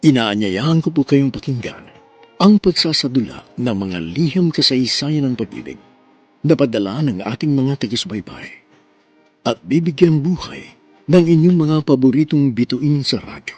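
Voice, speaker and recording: A man's voice: mid-range voice, animated, moderate pace, slightly rough, faint music behind. A man hosting and narrating a romantic radio drama in a formal, polite style. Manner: warm, formal, polite address.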